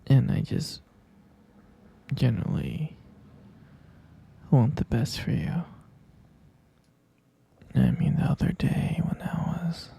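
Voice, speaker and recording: A man playing a hesitant, concerned middle-aged stepfather in a scripted ASMR roleplay, speaking in a breathy, gravelly, halting hush. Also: mid-range voice, huge pitch swings, exaggerated voice. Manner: halting, hushed, drawn-out delivery.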